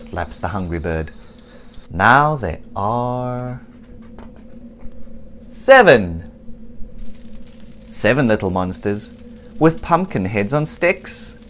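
A storybook reader giving an expressive, theatrical read-aloud for children, deliberate and full of suspense. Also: medium pitch, slight background noise. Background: music and sound effects.

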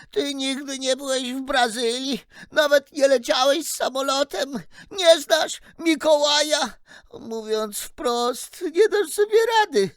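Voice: sits high, no room sound, clean studio sound. A woman voicing a flustered, despairing chief elf in a children's story, stammering and whining dramatically. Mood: resigned, hopeless.

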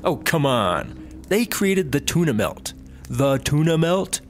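A man's voice: fairly high pitch, sing-song, takes it slowly, soft background music. A man playing a naive, easygoing and rather dim cop sidekick in a comedy audio drama. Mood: pleased, naive.

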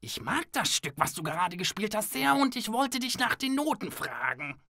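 A woman's voice: mid-range voice, very animated. A woman voicing a sly, boastful male big bad wolf in a children's cartoon, drawing out the scheming words. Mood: wheedling. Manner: drawn-out, boastful, scheming delivery.

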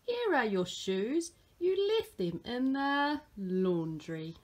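A hearty father from a picture book, read by a woman. He is dismissive in an amused way, stretching his words emphatically. The delivery is slow and lively in pitch, with some huskiness. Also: exaggerated voice. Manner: emphatic, drawn-out.

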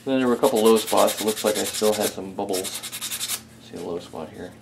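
Deep, gravelly man thinking aloud. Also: brisk, background noise. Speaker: hobbyist restorer, video host. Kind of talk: casual hands-on workshop chat. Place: small indoor home workshop.

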